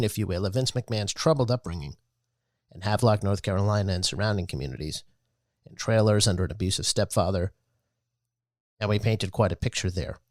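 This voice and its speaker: Measured man narrating a podcast. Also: on the low side, no room sound, studio quality. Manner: measured, earnest storytelling delivery. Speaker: podcast host. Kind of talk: scripted public-radio-style narration.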